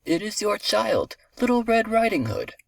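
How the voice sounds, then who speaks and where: A man's voice: high-pitched, sing-song, normal speed, gravelly, no echo, voice pushed up, full character voice. A man voicing a wicked storybook wolf who imitates a little girl, falsely sweet and wheedling.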